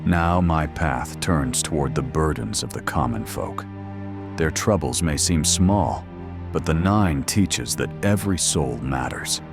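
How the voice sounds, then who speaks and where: Guy doing a pious knight for a game roleplay, super low voice, slow n solemn, music under it. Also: expressive, exaggerated voice. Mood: solemn devout. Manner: grave, formal, measured. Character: solemn pious male knight, humble crusader. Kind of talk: scripted in-character monologue.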